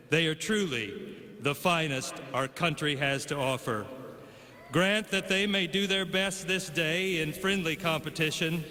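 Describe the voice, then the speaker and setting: Man leading a solemn stadium prayer, bright and clear, booming reverb. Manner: solemn, measured, slows on the blessing. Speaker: chaplain giving invocation. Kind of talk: public prayer. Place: large stadium over loudspeakers.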